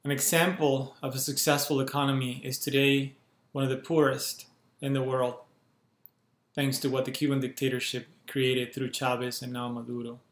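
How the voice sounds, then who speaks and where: A man in his thirties from Cuba, speaking to a webcam at home and making an urgent, earnest appeal in measured phrases. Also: mid-range voice, level tone. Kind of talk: earnest direct-to-camera appeal. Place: small room at home, indoors. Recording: roomy echo from a bare room.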